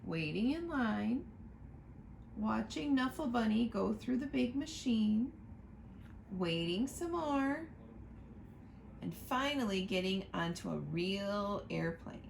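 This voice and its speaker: A woman reading a picture book aloud to children, slow and expressive, pointing out the pictures as she goes. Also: mid-range voice, creaky, slight background noise. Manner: slow, expressive storytime reading, asking listeners to look at pictures.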